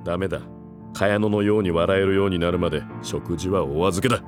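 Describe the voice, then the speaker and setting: A man's voice: deep voice, fairly even intonation, brisk, close to an everyday voice. An actor playing an obsessed, domineering man, cold and commanding, in a dramatic audio drama. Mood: cold, obsessive. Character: obsessed, domineering, cruel man tormenting a captive girl. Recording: loud string music over voice.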